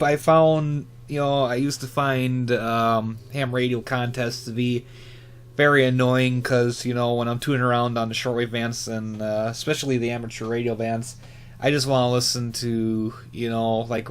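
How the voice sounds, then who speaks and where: A man giving casual, unscripted commentary as a hobbyist channel host, rambling and haltingly correcting himself as he goes. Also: mid-range voice, clear, resonant tone.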